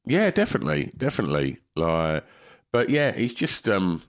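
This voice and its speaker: A man's voice: deep voice, very animated, normal speed. A man, chatting on a podcast, a bit congested from a cold, rambling and swearing. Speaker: podcast co-host. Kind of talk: casual chat.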